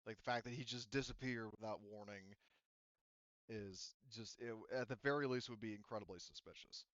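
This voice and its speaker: A man's voice: fairly low pitch, fairly bright tone, dry recording. Dull-sounding man as casual game master. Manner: halting, self-correcting, frequent "you know". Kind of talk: narrating a tabletop roleplaying game, casual and explanatory. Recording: dull, lo-fi voice audio.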